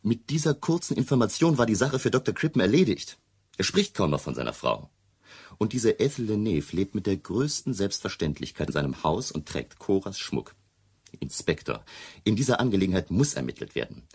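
A man's voice: lowish voice, sing-song, brisk, vocal fry, darkish tone, slightly rough, full character voice. An actor playing an earnest, suspicious man insistently reporting a friend missing in a radio play. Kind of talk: German radio drama dialogue.

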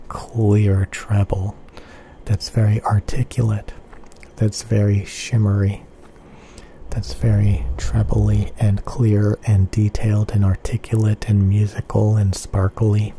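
A man explaining headphone measurements slowly and softly, repeating his phrases and often dropping into an unvoiced whisper. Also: fairly low pitch, monotone, a little hiss or hum. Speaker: headphone reviewer. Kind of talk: slow whispery ASMR-style tech explanation.

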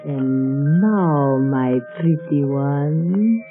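A woman playing a serene, sinister villainess in an old radio drama, formal, deliberate and silkily menacing. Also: deep voice, lively intonation, over loud music, exaggerated voice. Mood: quietly menacing. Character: exotic villainess, serene, sinister snake charmer. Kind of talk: 1930s radio drama acting. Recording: tinny 1930s radio broadcast.

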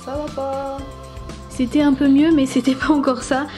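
A young woman with a somewhat high voice narrates a toy demonstration step by step. She speaks casually and unhurriedly, with small fillers as she thinks. Clear music plays underneath the voice-over. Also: normal speed. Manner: step-by-step explaining, relaxed with fillers. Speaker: toy review YouTuber.

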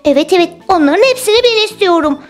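A woman's voice: very high voice, expressive, quick pace. A woman voicing an eager, bossy little girl in a children's doll story. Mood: insistent, excited. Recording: music bed under the voice.

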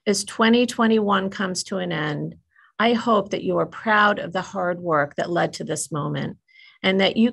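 A woman's voice: lowish voice, vocal fry, husky. A middle-aged woman giving a prepared keynote address at a commencement, speaking steadily over a video call. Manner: steady, read-aloud speech delivery.

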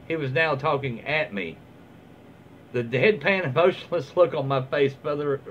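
Middle-aged man, slightly high voice, casual read-aloud, informal halting delivery, muffled home recording. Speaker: video host reading online stories. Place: small room at home, indoors, seated in armchair. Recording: dull lo-fi home recording, faint noise.

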